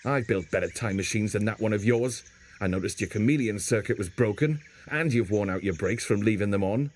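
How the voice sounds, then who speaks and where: A man playing a gruff, stubborn, wounded castaway in an audio drama, guarded and sardonic. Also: fairly low pitch, brisk, natural voice. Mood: irritated, scornful. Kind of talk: fan audio drama acting.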